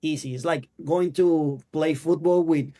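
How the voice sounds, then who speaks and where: A man in his thirties from Venezuela with a Venezuelan Spanish accent, Spanish as his first language, telling his story as an actor guest in an earnest, halting way. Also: fairly high pitch, steady tone, warm tone. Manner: earnest, halting non-native English. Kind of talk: casual interview.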